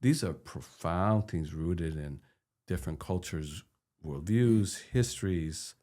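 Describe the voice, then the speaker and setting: A man's voice: low-pitched, lively intonation, slow, mellow. A middle-aged man from Peru co-hosting a podcast, talking earnestly and asking probing questions, often repeating a word as he starts. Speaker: podcast co-host. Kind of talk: conversational podcast discussion.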